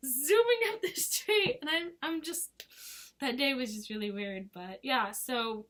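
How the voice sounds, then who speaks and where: A woman in her twenties, hoarse from losing her voice, chatting casually in a rambling, giggly vlog. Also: sits high, a little slow, a bit bright. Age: 20s. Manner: rambling and giggly, full of fillers. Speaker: vlogger. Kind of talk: casual chat to camera.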